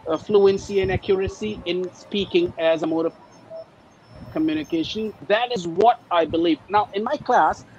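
A man whose first language is Urdu, an ESL teacher speaking over a video call in a halting, hesitant way. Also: high voice, a little mellow, highs cut off, a little hiss or hum. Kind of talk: panel discussion. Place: teaching abroad.

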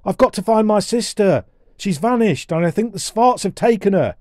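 A man's voice: high-pitched, huge pitch swings, a little slow, dark tone, dry recording, studio quality, voice pushed up, exaggerated voice. A man voicing an earnest, anxious young boy with an urgent manner in a storybook reading.

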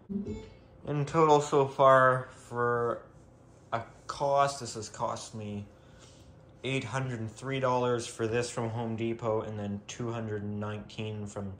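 A man giving an informal, unscripted show-and-tell as a video host, rambling and thinking aloud as he goes. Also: on the low side. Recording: echoey room, faint background noise.

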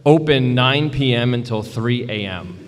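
A man's voice: medium pitch, measured pace. A man chatting casually as a podcast co-host. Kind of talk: casual chat. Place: large convention hall. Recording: echoey hall.

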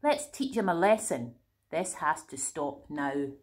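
A woman voicing a calm, firm female cow. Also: low-pitched, measured pace, slight background noise, voice pushed down.

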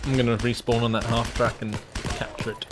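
A man chatting casually during a game in brief, low-key remarks. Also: level tone, fairly quick, noisy background. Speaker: gamer. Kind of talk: casual gameplay chat. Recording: game gunfire behind the voice. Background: video game gunshots and artillery.

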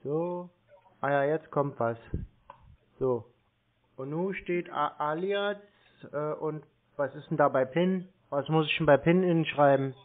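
Man acting naive bumbling guy, slightly high voice, muttering, mangled English words, thin telephone sound. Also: unhurried, lightly acted. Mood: muttering, befuddled. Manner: muttering to himself step by step. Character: bumbling, naive man struggling with online payment. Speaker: prank caller playing a scam victim. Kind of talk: improvised prank phone call. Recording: tinny narrowband phone line.

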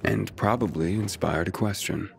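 An actor playing a guarded but kind male survivor in a video game, terse and understated, with a gravelly voice. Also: sits low, normal speed. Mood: guarded, wry. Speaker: game protagonist. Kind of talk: video game cutscene dialogue.

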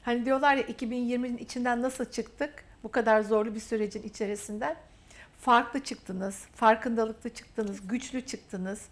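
A woman's voice: fairly high pitch, steady tone, normal speed. A woman hosting a university TV interview, praising her guest in repeated emphatic phrases. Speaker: interview host. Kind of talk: warm, effusive interview talk.

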